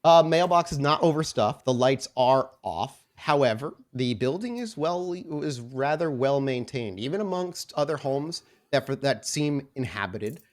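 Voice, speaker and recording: Man narrating as game master, deliberate delivery. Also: medium pitch, animated. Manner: halting, deliberate, descriptive. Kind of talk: improvised narration of a tabletop role-playing game.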